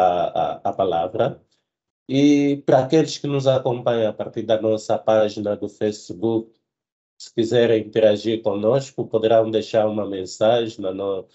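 A man's voice: on the low side, dark tone, muffled recording. A man hosting an online debate session, introducing the guest in a formal manner with frequent self-corrections. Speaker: host, moderator.

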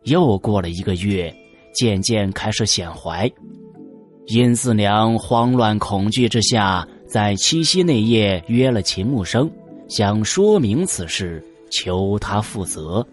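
An actor playing an elderly, officious Tang dynasty prefect in an audio drama, with measured, old-fashioned diction. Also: male voice, lowish voice, expressive, soft background music. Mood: grave, recounting.

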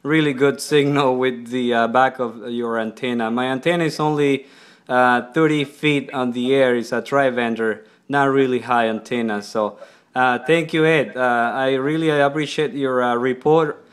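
A man's voice: mid-range voice, fairly even intonation, moderate pace, clean tone. A man speaking up in a casual ham radio exchange, with hesitant, repetitive phrasing, as a radio operator in a kitchen. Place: indoors in a kitchen. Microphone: laptop microphone nearby.

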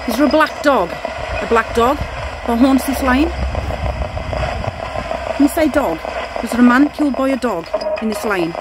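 A woman's voice: on the high side, moderate pace, long pauses. A middle-aged woman talking casually as a ghost-hunting vlogger, filming herself outdoors on a handheld camera. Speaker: paranormal investigator vlogger. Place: dark outdoor stone-walled alley at night. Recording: noisy outdoor handheld recording.

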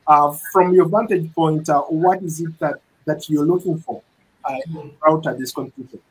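A middle-aged man hosting a news interview, speaking in a measured way with frequent fillers and restarts. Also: fairly high pitch, slightly rough, poor audio. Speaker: news reporter and interview host. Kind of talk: broadcast interview moderation. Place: indoor studio set.

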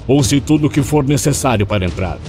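A man's voice: medium pitch, animated, fairly quick, a little mellow, lightly acted. Guy doing serious old military colonel giving orders, game parody, music behind. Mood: stern briefing. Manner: commanding, serious. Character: stern older male army colonel giving orders. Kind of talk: video game parody dub.

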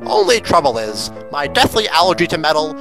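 A wildly swooping, boastful old professor. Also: male voice, on the high side, huge pitch swings, brisk, music in the background. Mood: boastful, enthusiastic. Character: enthusiastic, boastful old male professor explorer. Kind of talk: comedic radio drama acting.

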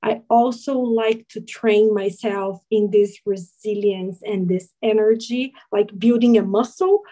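A woman's voice: medium pitch, steady tone, a little slow, dark tone, low-quality recording. A woman in her forties from Brazil with a Brazilian Portuguese accent, giving an earnest, explanatory talk over a video call. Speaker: guest speaker. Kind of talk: presentation to a video meeting.

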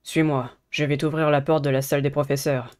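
A man voicing a polite, earnest teenage schoolboy in a dating game, measured. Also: on the high side, flat delivery, clean tone, natural voice. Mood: calm, earnest.

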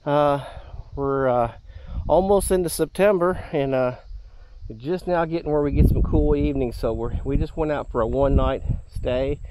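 A man narrating a camping vlog in a relaxed, rambling way with many fillers, outdoors at a campground. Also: a little high, normal speed, a little hiss or hum, low-quality recording. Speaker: camping vlogger.

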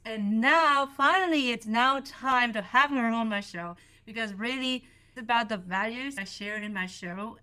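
A woman's voice: a little high, pushed and bright, no room sound, studio quality. A woman hosting a podcast, reading her intro and welcoming a guest with enthusiasm. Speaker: podcast host. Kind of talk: scripted intro and interview.

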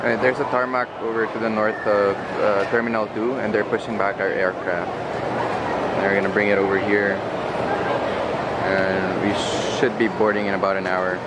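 A man's voice: on the low side, flat delivery, normal speed, heavy background noise. A young man, narrating a casual travel vlog. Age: young adult. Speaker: travel vlogger. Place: busy airport terminal. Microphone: camera mic at arm's length. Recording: loud airport terminal ambience.

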